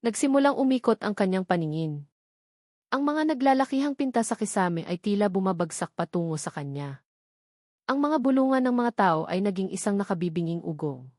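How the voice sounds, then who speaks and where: A woman's voice: medium pitch, very animated, a little fast, darkish tone, dry recording. Filipino woman narrating a dramatic story, dry sound. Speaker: audiobook narrator.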